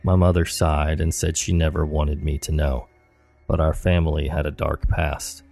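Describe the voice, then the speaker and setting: Very deep gravelly male narrator. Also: level tone, normal speed, a little mellow. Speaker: horror story narrator. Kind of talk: scripted narration of reader-submitted scary stories.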